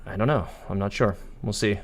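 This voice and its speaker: Low-voiced male game streamer. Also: a little fast. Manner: thinking aloud, explanatory. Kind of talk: casual live stream chat.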